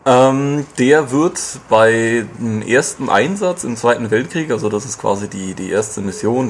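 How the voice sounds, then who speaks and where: A man with a fairly low voice talks through a video game review in relaxed podcast chat. He explains at length and fills his pauses with hesitations. A faint hiss sits under the recording.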